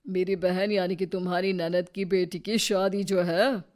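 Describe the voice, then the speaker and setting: A woman playing a sharp-tongued, suspicious middle-aged mother-in-law in a cartoon drama, scornful and defensive. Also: fairly low pitch, steady tone, a little slow, close to an everyday voice.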